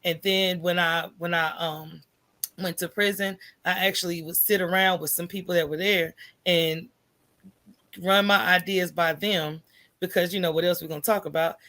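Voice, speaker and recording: A woman's voice: on the low side, fairly even intonation, moderate pace, fairly bright tone. An American woman telling her own story as an interview guest in an informal, candid way, often checking in with "right?". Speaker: podcast guest, entrepreneur. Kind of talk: conversational personal storytelling in an interview.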